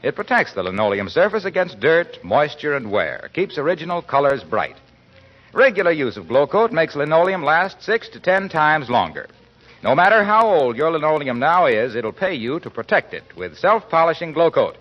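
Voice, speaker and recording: A man announcing in scripted 1940s radio style, polished and persuasive like a genial pitchman. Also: sing-song, normal speed. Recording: muffled old 1940s radio recording.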